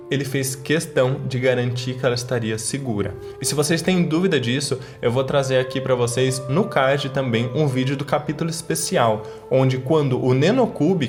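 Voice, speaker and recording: A man with a Brazilian accent hosting an online video, giving explanatory fan commentary to viewers in a didactic, emphatic way. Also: mid-range voice. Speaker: YouTube channel host.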